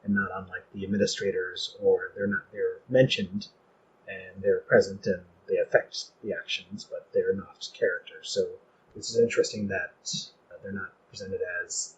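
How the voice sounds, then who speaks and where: A man's voice: deep voice, little pitch movement, moderate pace, husky. A man interviewing conversationally, feeling his way through his questions and rephrasing as he goes. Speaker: podcast interviewer. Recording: dull, noisy recording with room ambience.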